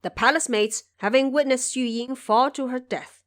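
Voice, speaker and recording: A woman's voice: sits high, moderate pace. A woman giving scripted storytelling narration for an audio drama.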